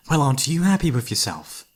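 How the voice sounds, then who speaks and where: A man's voice: medium pitch, breathy edge, heavily acted. A man playing a devoted young knight in a romantic fantasy ASMR roleplay, speaking in a courtly yet playfully teasing manner. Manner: formal, courtly, playfully teasing, soft-spoken sighs.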